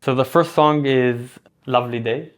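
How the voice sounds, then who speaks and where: A man in his thirties from Israel with a Hebrew accent, a guest chatting casually in an interview, relaxed and full of fillers and restarts. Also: medium pitch, moderate pace, clear, resonant tone.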